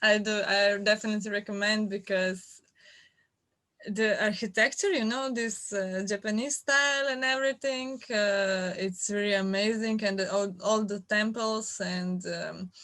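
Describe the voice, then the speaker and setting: A young woman from Slovenia, a guest athlete chatting in an interview, hesitant with many fillers and quick to laugh. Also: medium pitch, steady tone, fairly bright tone. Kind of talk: casual interview chat.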